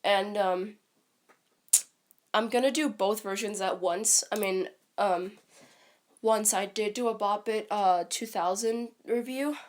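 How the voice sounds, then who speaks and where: A woman's voice: medium pitch, fairly even intonation, takes it slowly. A woman with a stuffy, congested voice from a cold, talking casually on a live stream and rambling with frequent ums and restarts.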